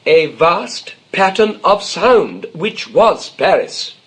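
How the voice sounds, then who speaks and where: A man's voice: high voice, big pitch swings, slow, a bit bright, breathy edge, exaggerated voice. An actor playing an irritable, self-important middle-aged novelist father in a period comedy, pompous and declamatory. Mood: exasperated. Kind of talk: period stage comedy radio acting.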